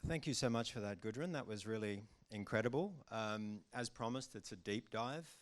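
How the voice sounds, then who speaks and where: A man moderates a conference panel in a very deep voice. He is upbeat and polite, welcoming guests and steering the talk. He jokes about having a loud voice. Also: moderate pace. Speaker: moderator, professor.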